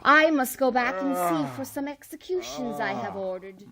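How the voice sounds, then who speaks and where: A woman's voice: sits high, animated, normal speed, vocal fry, a crowd in the background. A woman playing an ill-tempered, bossy queen in a storybook radio play, commanding and haughty. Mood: brisk, dismissive. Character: imperious, ill-tempered Queen, quick to order executions. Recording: music under the voice.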